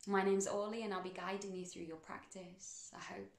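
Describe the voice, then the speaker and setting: A woman guiding a meditation in a soothing, unhurried manner, with measured phrases and pauses between them. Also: medium pitch, little pitch movement, echoey room. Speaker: meditation guide. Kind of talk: guided meditation narration.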